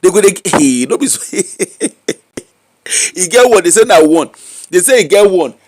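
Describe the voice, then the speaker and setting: Nigerian male commentator, high bouncy voice, teasing and rhetorical. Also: unhurried, no echo. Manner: animated, mocking, rhetorical questions. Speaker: social media commentator. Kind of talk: casual commentary in pidgin. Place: UK-based.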